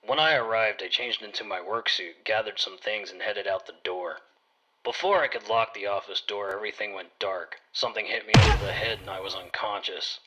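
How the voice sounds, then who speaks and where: A man's voice: lowish voice, fairly quick, bright, forceful tone, a little hiss or hum, rough recording. A man narrating a scripted first-person detective story in a hard-boiled storytelling manner. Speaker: narrator playing a private detective.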